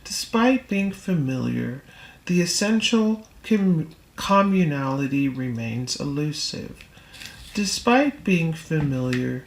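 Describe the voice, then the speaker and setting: A woman reading a spiritual text aloud in audiobook style, with measured, deliberate phrasing and pauses. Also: sits low, roomy sound, poor audio. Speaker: reader.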